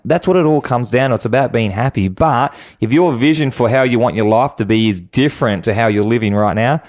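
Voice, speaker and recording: A man's voice: mid-range voice, a little mellow. A man giving earnest, exhorting motivational advice as an interview guest. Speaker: guest business coach.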